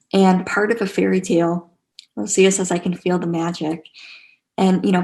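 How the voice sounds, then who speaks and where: A woman teaching a spiritual class online in a casual, gentle and encouraging way, repeating phrases for emphasis, with a creaky voice. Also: lowish voice. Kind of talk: casual guided teaching to a live online group.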